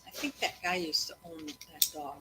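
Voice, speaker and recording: A woman reading a children's book aloud to kids in a storytelling style, pausing to chat and ask them questions. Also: low-pitched, background noise. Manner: breaks off to address and question children.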